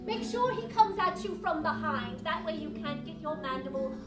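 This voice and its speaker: A woman's voice: sits high, lively intonation. A woman voicing a flirtatious female insect doctor, theatrical and cooing, giving exuberant advice as host of a comic live puppet show. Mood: playful, brisk. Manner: theatrical, cooing, breaks into drawn-out exclamations. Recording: echoey live stage, distant mic, music, audience.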